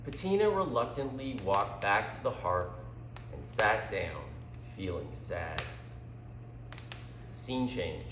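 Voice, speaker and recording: A man reading a scripted story as a storyteller, with a dramatic, deliberate delivery. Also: medium pitch, a little hiss or hum. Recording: echoey room.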